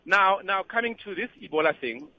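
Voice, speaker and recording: A man with a South African accent calling a radio show, giving his opinion in a halting way and repeating words as he goes. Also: high-pitched, expressive. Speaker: phone-in caller. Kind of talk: conversational opinion. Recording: dull band-limited call-in line.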